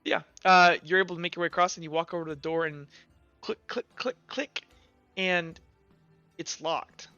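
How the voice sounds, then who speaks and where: Bright, clear-voiced man running a game. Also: on the high side, fairly even intonation, moderate pace, dry recording, highs cut off, soft background music. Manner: informal, improvised descriptions. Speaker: game master. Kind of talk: narrating a tabletop roleplaying game.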